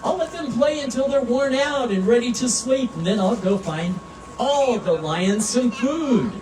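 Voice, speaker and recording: A woman voicing a gentle, dutiful mother lioness from a storybook, motherly and instructing. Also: fairly high pitch, expressive, a little slow, pitched above the performer's own voice. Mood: fond, resolved. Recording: roomy, distant mic, clear background noise.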